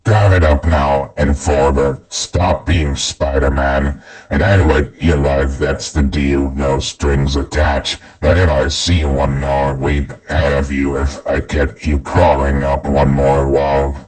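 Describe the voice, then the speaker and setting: A gloating, murderous supervillain in a comic dub, with an extremely deep, husky rasp. He taunts in slow, menacing, drawn-out threats. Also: flat delivery, a little mellow, exaggerated voice, rough recording. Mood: menacing, taunting.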